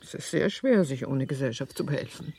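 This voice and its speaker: An actress playing a middle-aged wife and mother in a radio play, principled and stern, with a measured, insistent, husky delivery. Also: extremely low pitch, animated, darkish tone, dry recording, heavily acted. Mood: stern, troubled.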